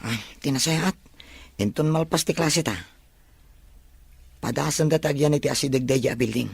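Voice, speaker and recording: An actor as eager young male sidekick, medium pitch, dry recording, helpful, audio drama. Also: normal speed, gravelly, breathy edge, no room sound. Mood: concerned, helpful. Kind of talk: Filipino audio drama dialogue in Ilocano.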